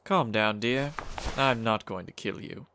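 An actor playing a suave, courteous gentleman hunter in a comic dub, speaking in a genteel, theatrical way with a calm menace. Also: on the low side, measured pace, a little hiss or hum. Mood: gently courteous. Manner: genteel, theatrical, old-fashioned endearments.

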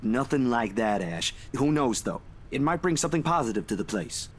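An actor playing a gentle, supportive young man in a visual novel, mild and folksy in his talk. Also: mid-range voice, big pitch swings, moderate pace. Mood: reassuring.

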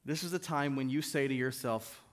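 A man in his thirties preaching a sermon from a pulpit in a church hall, animated and rising to emphatic peaks, reading scripture aloud into a stand mic. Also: medium pitch, brisk. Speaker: guest preacher. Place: church stage, mid-size indoor hall.

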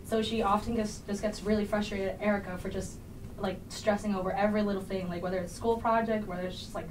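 A woman's voice: mid-range voice, level tone, quick pace, a little mellow. A teenage woman, a student actor, talking casually and informally in a panel discussion. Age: teens. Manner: informal, heavy use of "like". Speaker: student actor being interviewed. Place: small indoor studio. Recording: slightly distant mic, faint room noise.

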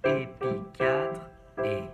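A man's voice: medium pitch, drawn-out words. A man giving a step-by-step piano tutorial in short instructional phrases, naming notes one by one. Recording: piano playing over the voice. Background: keyboard piano being played.

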